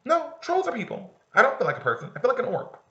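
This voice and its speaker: A man's voice: very high voice, wildly swooping pitch, quick pace, pushed and bright, pitched above the performer's own voice, heavily acted. A man reading aloud in a raised voice as an awkward, apologetic teenage girl. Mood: pleading. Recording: roomy, slightly dull recording.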